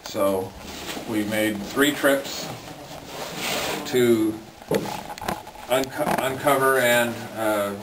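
A middle-aged man casually recounting an expedition as a cave explorer, in a halting, piecemeal way. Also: medium pitch. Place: inside a cave. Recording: echoey, distant, noisy camera sound.

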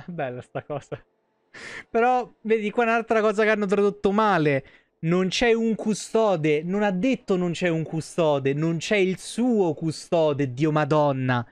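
A male streamer chats casually over a game. His voice is on the high side for a man and sounds dry and close, with an informal manner. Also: lively intonation, a little slow, clear, resonant tone, no room sound. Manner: informal, chatty, swears. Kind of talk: casual live gameplay commentary. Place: small indoor room.

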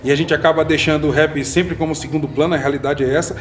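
A man's voice: on the high side, steady tone, fast, a little hiss or hum. A young man from Salvador hosting a casual panel chat, talking earnestly and tagging his points with "né". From Salvador, Bahia, Brazil. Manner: earnest, often tags lines with "né". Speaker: podcast host. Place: community center room, seated on sofa with panel.

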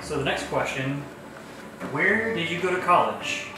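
A man in his early thirties chatting casually while cooking at home, laid-back and low-key. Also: lively intonation, unhurried. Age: early 30s. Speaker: co-host, husband. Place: large home kitchen, indoors. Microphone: far camera mic. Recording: echoey kitchen from far off, background music.

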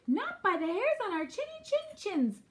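A woman's voice: very high voice, huge pitch swings, normal speed, voice pushed up, exaggerated voice. A woman playing a sensible, determined young male pig in a storybook, speaking with earnest emphasis. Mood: urgent.

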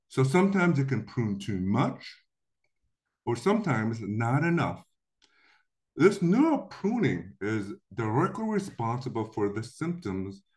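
A middle-aged man from Korea giving a recorded slide lecture over a webcam, explaining with lively delivery and acted-out quotes. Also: medium pitch.

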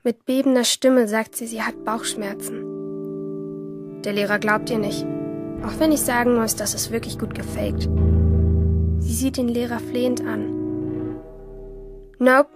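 A woman's voice: fairly high pitch, fairly even intonation, unhurried, pauses often, natural voice. An actress playing a troubled, yearning teenage schoolgirl in an introspective first-person radio drama monologue. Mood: tense, observant. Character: troubled, yearning teenage schoolgirl narrating her inner life. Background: music under the voice.

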